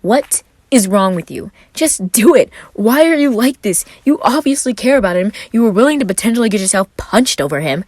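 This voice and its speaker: Lanky bashful emo guy for an audio story, played by a woman: halting, self-deprecating, awkward delivery, very dry sound. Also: fairly high pitch, lively intonation, a little mellow, no echo, voice pushed up. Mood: self-reproachful. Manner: hesitant, stammering, self-deprecating. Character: very tall, awkward, shy emo young man. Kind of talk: fan fiction audio story acting.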